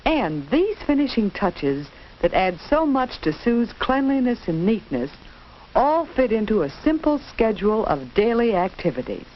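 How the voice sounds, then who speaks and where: A woman's voice: on the high side, very animated, moderate pace. A woman giving scripted, instructive narration in a 1940s educational film style, carefully enunciated. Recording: dull, noisy 1940s film soundtrack.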